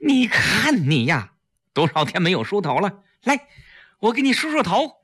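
Man voicing a high-pitched, theatrical, spirited young wife. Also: huge pitch swings, unhurried, sharp tone, husky, no room sound, studio quality, exaggerated voice. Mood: showy fussing. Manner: theatrical, exclamatory, coaxing. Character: spirited, crafty young wife, scolding and secretly caring. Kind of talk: dramatic audiobook storytelling.

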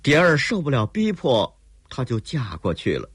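A male storyteller voicing a soft, pleading young courtesan. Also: medium pitch, wildly swooping pitch, moderate pace, slightly rough, no echo, voice pushed up. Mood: worried. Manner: coaxing, tearful pleading.

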